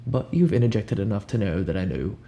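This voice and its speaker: A young man improvising a soft-spoken ASMR roleplay as a cool, ominous recruiter, talking in a casual, blunt, unhurried way over hiss and hum. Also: fairly low pitch, lively intonation, creaky. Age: young adult. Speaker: ASMR roleplayer playing a job interviewer. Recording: audible background hiss and hum.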